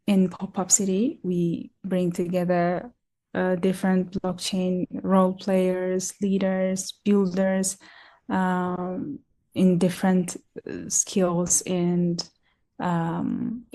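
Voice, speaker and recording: A young woman from Ethiopia talks as a podcast guest, slowly and with frequent pauses and filler words. Her voice sits a little low and sounds mellow and soft. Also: level tone. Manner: hesitant, many ums and restarts. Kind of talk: casual interview talk.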